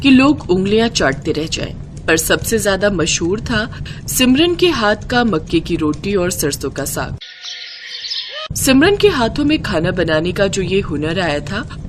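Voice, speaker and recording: A woman's voice: mid-range voice, noisy background. A woman narrating a scripted moral story as the storyteller.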